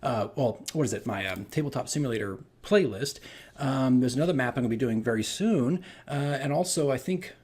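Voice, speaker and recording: Casting: male game master, medium pitch, slightly husky, casual rambling storyteller recapping for a vlog. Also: expressive, fairly quick, darkish tone. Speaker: tabletop game master recounting his campaign.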